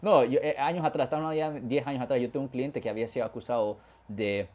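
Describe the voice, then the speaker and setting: A man's voice: mid-range voice, fast talker, a little mellow, dull audio. Man, criminal lawyer, long explanations, switches into English words. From California, USA. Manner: explains at length, mixes English words into Spanish. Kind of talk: conversational legal explanation.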